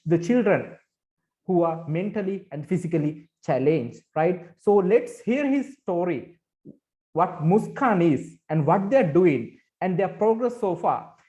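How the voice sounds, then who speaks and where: A man's voice: high voice, warm tone. A man moderating a webinar panel, thanking speakers and introducing the next one in a polite, formal way, with fillers. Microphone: video call. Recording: dull lo-fi video call audio.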